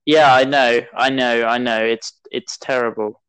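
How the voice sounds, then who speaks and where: A young man co-hosting a casual podcast, talking in a hesitant, halting way with many pauses and fillers. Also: medium pitch, steady tone, a bit bright, smooth, low-quality recording. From half South African. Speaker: podcast co-host. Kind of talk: casual chat.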